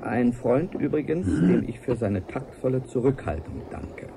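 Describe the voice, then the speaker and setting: An actor playing an earnest, lonely scientist who confides in a formal, measured way in a radio-play audio letter. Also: male voice, medium pitch, expressive, noisy background, lightly acted. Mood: earnest, confiding. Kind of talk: 1980s German radio play monologue.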